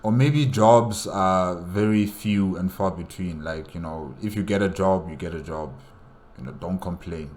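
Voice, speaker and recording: A man's voice: deep voice, a little slow, a little mellow, a little hiss or hum. A man giving casual solo reaction commentary, musing aloud and repeating phrases with plenty of "you know". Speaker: reaction video host.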